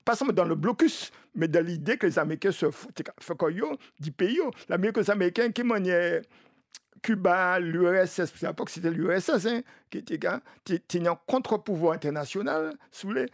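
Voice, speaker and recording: A man with a Martinican accent hosting a live radio discussion, explaining deliberately and repeating phrases as he builds his point. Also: high voice, lively intonation, vocal fry, dry recording, studio quality. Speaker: radio host.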